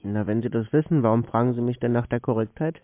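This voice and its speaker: A man's voice: mid-range voice, quick pace. A man casually but curtly challenging callers, often answering with questions of his own. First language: German. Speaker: scam baiter taking calls. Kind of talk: casual phone conversation. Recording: lo-fi phone call recording.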